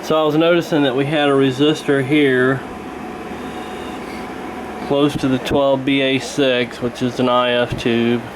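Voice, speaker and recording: A man narrating a repair casually in an unhurried, halting, matter-of-fact way. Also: medium pitch, little pitch movement, noisy background. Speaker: radio repair hobbyist presenting.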